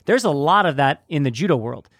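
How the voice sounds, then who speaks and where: A man with a somewhat high voice hosting a conversational interview. He sounds earnest and reflective. The recording is dry and close, with no room echo. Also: animated, brisk, a bit bright.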